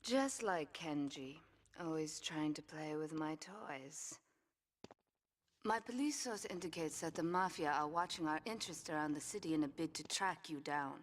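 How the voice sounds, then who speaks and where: A woman's voice: low voice, normal speed, slight background noise, close to an everyday voice. An actress playing a cold, commanding Yakuza woman boss who gives curt, dryly seductive orders in a video game cutscene. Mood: cool command.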